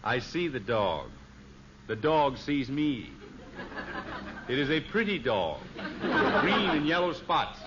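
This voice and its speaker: Middle-aged American sitcom dad, wry, exasperated, swooping intonation, noisy vintage radio recording. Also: male voice, fairly high pitch, very animated, slow pace, pauses often, dull audio. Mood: sarcastic. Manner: dry, sarcastic. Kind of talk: 1950s radio sitcom acting.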